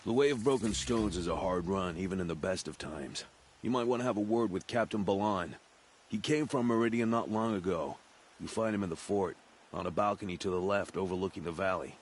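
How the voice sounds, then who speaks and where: A man's voice: low voice, a little fast, slightly rough. A man voicing an earnest, courteous fort guard in a video game. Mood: helpful, grateful.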